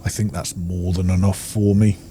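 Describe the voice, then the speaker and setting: Low-voiced man over noisy game ambience. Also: level tone, moderate pace. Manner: musing, talks to himself. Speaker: gamer, let's play host. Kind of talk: casual gameplay commentary, thinking aloud.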